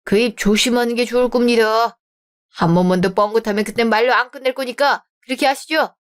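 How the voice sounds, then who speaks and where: A stern middle-aged father who is fiercely protective of his daughter, read by a woman in a radio drama. He talks curtly, in a voice that stays on the high side. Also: brisk, lightly acted.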